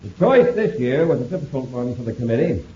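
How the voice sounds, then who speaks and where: An actor playing a brisk, heroic space patrol commander in a 1950s radio drama, giving crisp orders. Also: male voice, medium pitch, big pitch swings, lightly acted. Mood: formal. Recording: dull old radio recording, echoey.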